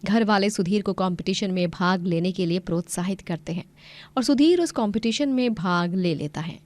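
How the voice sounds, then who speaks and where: A woman's voice: mid-range voice, normal speed, darkish tone. A woman narrator reading a scripted moral story.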